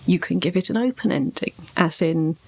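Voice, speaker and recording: A woman's voice: fairly low pitch, moderate pace, poor audio. A woman co-hosting a discussion of writing craft, explaining points in articulate, emphatic phrases. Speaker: podcast co-host, writing coach.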